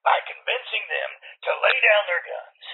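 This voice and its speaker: Man as husky, haughty tactician general on phone. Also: sits high, animated, moderate pace, full character voice. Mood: haughty, grand. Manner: theatrical, self-assured. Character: haughty, obsequious, nerdy male military tactician general. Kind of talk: comedic audio drama acting. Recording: narrow, phone-like remote meeting line.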